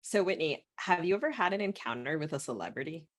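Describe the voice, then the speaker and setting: Woman podcast host, low-pitched voice. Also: big pitch swings, fast. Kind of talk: conversational literary interview.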